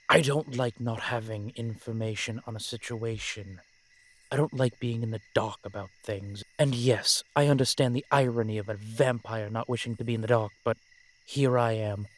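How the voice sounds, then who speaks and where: Man acting a brooding, formal male vampire. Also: lowish voice. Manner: formal, measured, dramatic phrasing with pauses. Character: brooding, protective male vampire, old-fashioned and frustrated. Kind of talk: scripted audio roleplay acting addressed to the listener.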